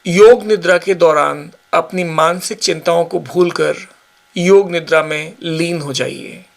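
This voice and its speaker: A man guiding a yoga nidra relaxation session with slow, calm, measured instructions. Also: fairly high pitch, gravelly. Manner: slow, calm, measured guidance with long pauses. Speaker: yoga teacher.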